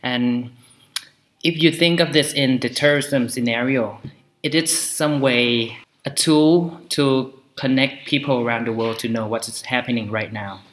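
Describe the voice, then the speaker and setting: Young Thai guest, mid-pitched, measured, hesitant delivery. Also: male voice, fairly bright tone. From Thailand. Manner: measured, with frequent ums. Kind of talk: casual panel discussion.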